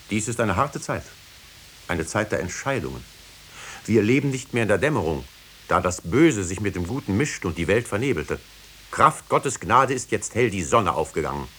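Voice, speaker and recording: An actor playing a stern, unbending judge in a 1950s radio drama, speaking formally and with authority. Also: male voice, lowish voice, big pitch swings, normal speed, long pauses, fairly bright tone, slightly rough, exaggerated voice. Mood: righteous, severe. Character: stern, unbending male judge of Puritan Salem. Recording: hissy old radio drama recording.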